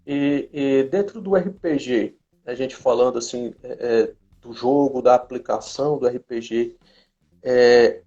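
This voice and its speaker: A man's voice: medium pitch, a little slow, dark tone. A man hosting a casual chat, speaking hesitantly as he pieces thoughts together, on lo-fi live-stream audio.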